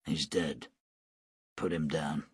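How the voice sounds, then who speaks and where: A man doing a grim, low-voiced gunman. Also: animated, slow pace, vocal fry, pushed and bright, slightly rough, no echo, clean studio sound, exaggerated voice. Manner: stern, commanding.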